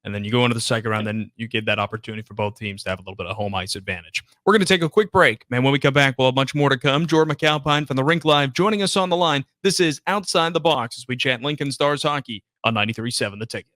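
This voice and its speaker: A man's voice: mid-range voice, fast, hardly any pauses, a bit bright, no echo. A man hosting a sports radio show in a conversational style, on a broadcast microphone in a studio.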